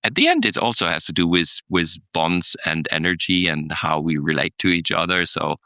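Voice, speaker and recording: A very deep, gravelly-voiced middle-aged man speaks as a podcast guest. His tone is bright and forceful, and the recording is close and dry. He tells stories in a reflective way, restarts often, and chuckles between thoughts. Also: very animated, normal speed, no room sound. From Germany. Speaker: guest, executive coach. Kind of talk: podcast interview, reflective storytelling.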